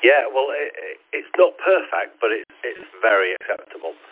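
A man's voice: mid-range voice, very animated, moderate pace, breathy edge. A man in his fifties chatting casually over amateur radio, easygoing and hesitant with many fillers. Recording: tinny radio link.

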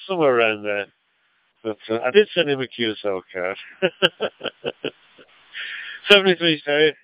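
Smooth older Lancashire man, phone-like radio. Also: medium pitch, dry recording. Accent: Lancashire English. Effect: two-way radio. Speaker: amateur radio operator. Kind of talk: casual radio chat with anecdotes and call signs. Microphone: radio transceiver microphone. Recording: phone-like digital radio gateway link.

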